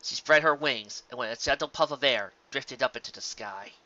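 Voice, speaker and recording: A man reading a fan fiction story aloud as narrator, in an expressive storytelling style. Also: mid-range voice, moderate pace, muffled recording. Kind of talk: expressive story narration with occasional casual asides.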